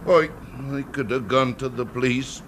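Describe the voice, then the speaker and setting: A male actor playing a meek, bitter, grieving older blind man in a radio drama, speaking in halting phrases. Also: mid-range voice, fairly even intonation, a bit bright, natural voice. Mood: brooding, confessional. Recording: very noisy old radio drama recording.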